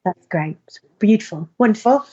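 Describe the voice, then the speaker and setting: A woman's voice: medium pitch, expressive, moderate pace, dark tone, slightly rough, muffled recording. A woman actor being interviewed informally, speaking in an earnest, emphatic and effusive way to promote a play.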